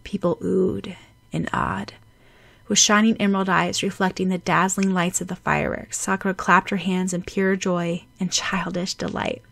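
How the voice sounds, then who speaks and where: A woman's voice: fairly low pitch, level tone, normal speed, creaky, a bit bright, dry recording. Woman reading a story expressively. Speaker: amateur audiobook narrator. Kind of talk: expressive storybook narration of fan fiction.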